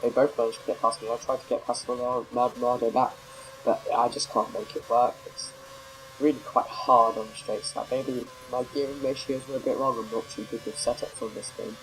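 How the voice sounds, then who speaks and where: A man's voice: mid-range voice, little pitch movement, a little fast, fairly bright tone, a little hiss or hum, poor audio. A man with a cold and a slightly nasal voice, casually commentating his racing game, rambling and correcting himself. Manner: rambling, self-correcting, many fillers. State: has a cold, a bit nasal. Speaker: gameplay commentator.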